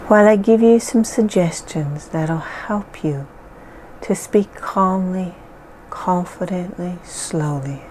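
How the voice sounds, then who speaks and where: A woman leading a guided hypnosis session in a slow, soothing, measured way with long pauses. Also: fairly low pitch, mellow, a little hiss or hum, low-quality recording.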